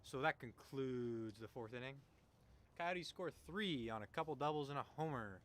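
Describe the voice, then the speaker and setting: A man's voice: mid-range voice, lively intonation, moderate pace, fairly bright tone. A young man from Canada doing chatty, slangy play-by-play commentary of a baseball game in an informal, jokey way. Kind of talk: casual, chatty live sports commentary. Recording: noisy live ballgame broadcast.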